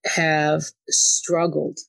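A woman's voice: deep voice, little pitch movement, drawn-out words, vocal fry, no room sound, low-quality recording. A woman from Ukraine talking as a spiritual channeler and interview guest, often dropping in "you know" as she goes. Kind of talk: spiritual conversation.

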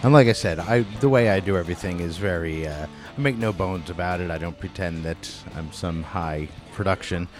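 A man's voice: low voice, fairly quick, few pauses, music in the background. A man hosting a podcast in a crowded restaurant, talking in a loose, chatty way and swearing casually. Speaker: podcast host. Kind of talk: casual interview.

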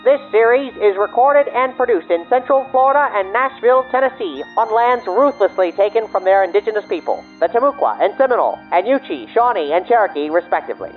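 A man reading the show's outro credits and sign-off in a smooth, businesslike way. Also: high-pitched, expressive, fairly quick, hardly any pauses, soft background music. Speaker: show announcer. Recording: muffled, dull sound.

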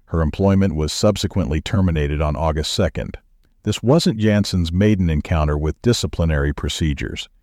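A man reading news stories as a podcast producer, with a measured, even newsreader delivery. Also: extremely low pitch, dry recording, studio quality.

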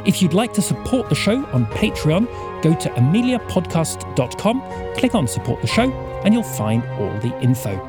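Music plays under a man's scripted announcement. His voice moves animatedly in pitch as he reads out credits. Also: sing-song, moderate pace. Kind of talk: scripted narration.

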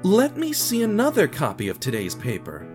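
Radio drama part: male actor as bland twenty-something office man, fed up and insistent, voice pushed high. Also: huge pitch swings, moderate pace, over music. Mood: annoyed, indignant. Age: 20s. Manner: exasperated, insistent, exclaiming.

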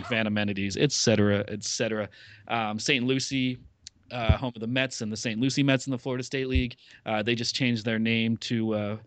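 A man's voice: fairly low pitch, fairly bright tone, dry recording. A man chatting on a podcast, rambling with many fillers and self-corrections. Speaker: podcast regular covering ballpark business news. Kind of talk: casual podcast chat.

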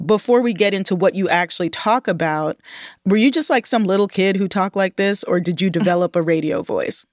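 A woman's voice: mid-range voice, a little fast, dry recording, clean studio sound. A woman hosting an interview podcast, talking in an affirming, conversational way. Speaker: podcast host.

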